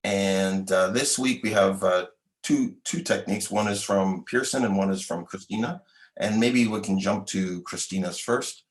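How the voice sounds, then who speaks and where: A man's voice: low-pitched, moderate pace. A man hosting a developer livestream, giving casual product updates and introducing segments in a loose way over a video call. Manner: casual, loose with fillers and restarts.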